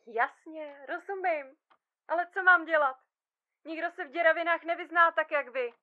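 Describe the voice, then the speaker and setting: An actress playing a frazzled, blunt surgeon of about thirty in a comic audio drama, colloquial, sarcastic and exasperated. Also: female voice, very high-pitched, narrow, tinny audio. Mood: exasperated, dismissive. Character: frazzled, blunt thirty-year-old woman surgeon split in two, bickering.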